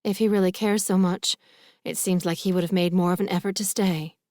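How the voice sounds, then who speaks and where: A woman's voice: lowish voice, steady tone, brisk, a little mellow, no room sound, studio quality, natural voice. A woman voicing a worried, protective middle-aged mother, stern and fussing, in an audiobook. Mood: indignant, wounded. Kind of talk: audiobook character dialogue.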